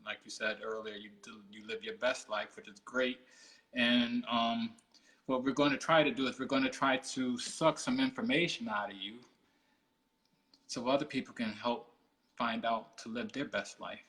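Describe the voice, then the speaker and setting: A man in his forties co-hosts a casual live-stream interview. His voice sits in a middle range. He talks in an easygoing, halting way and often fills pauses with um. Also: mid-range voice, fairly even intonation, a bit bright. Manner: easygoing, halting, many ums. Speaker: co-host.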